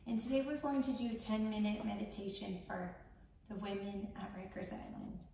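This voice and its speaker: A woman leading a guided meditation in a soothing, gentle, measured manner with long pauses. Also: mid-range voice, vocal fry. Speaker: meditation guide, founder of a yoga charity. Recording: echoey room, distant mic, faint noise.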